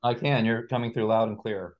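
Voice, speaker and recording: A man speaking as a podcast guest on a video call, explaining ideas in a deliberate, measured way. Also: on the low side, fairly even intonation, highs cut off. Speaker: podcast guest, author and researcher. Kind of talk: explanatory interview talk. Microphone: remote video call.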